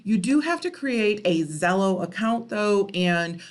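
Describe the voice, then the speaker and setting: A woman's voice: medium pitch, lively intonation, a little slow. A woman presenting an informal screen-share tutorial in a conversational, explanatory way, often checking in with the listener. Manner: conversational, explanatory, checks in with okay and all right. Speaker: presenter of a library technology tutorial.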